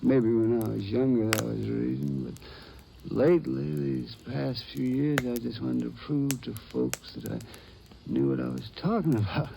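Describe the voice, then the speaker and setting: An actor playing a frail, stubborn old American gold prospector in a 1950s radio western, with a folksy, halting delivery. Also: male voice, medium pitch, gravelly. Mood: weary, contented. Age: older. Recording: noisy vintage radio recording.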